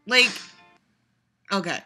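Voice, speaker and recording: A woman's voice: slow, pushed and bright, faint music behind. A young woman vlogger giving a sarcastic, profane reaction, rambling with lots of laughs and fillers. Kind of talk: casual reaction commentary.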